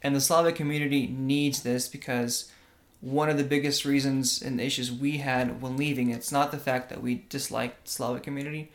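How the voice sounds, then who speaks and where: A man's voice: mid-range voice, fairly even intonation, normal speed, few pauses. Young male podcast co-host, earnest, keeps asking "right?", born in Russia. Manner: earnest, tag questions like "right?". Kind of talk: casual religious podcast talk.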